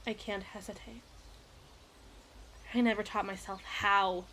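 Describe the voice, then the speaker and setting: A woman's voice: medium pitch, flat delivery, unhurried, bright, forceful tone, slight background noise. A woman playing a possessive, imperious evil queen in an ASMR roleplay, speaking in formal, teasing, theatrical diction. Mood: intimate, menacing.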